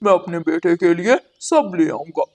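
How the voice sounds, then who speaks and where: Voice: mid-range voice, animated, moderate pace, darkish tone, natural voice. A performer voicing a stingy, bossy male crow, a husband and father, in a moral-story cartoon, with a curt manner. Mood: businesslike.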